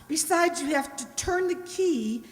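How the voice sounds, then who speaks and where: Woman acting as prim fussy schoolmarm, very high pitch, earnest, plaintive, radio play, long hall reverb. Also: lively intonation, unhurried. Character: prim, fretful spinster schoolteacher, naive and earnest. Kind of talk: old-time radio detective drama acting.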